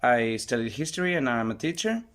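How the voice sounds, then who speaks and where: A man's voice: medium pitch, big pitch swings, fairly quick, a bit bright. Man, podcast host, second-language english, friendly, hesitant. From Portugal. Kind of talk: casual chat.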